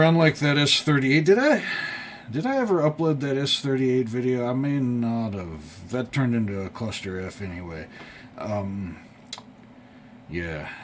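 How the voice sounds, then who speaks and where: A man presenting a casual, unscripted hobby show-and-tell, thinking aloud in a halting, rambling way. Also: mid-range voice. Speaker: hobbyist video presenter restoring vintage electronics. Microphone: off-camera mic while filming the object. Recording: clear background noise.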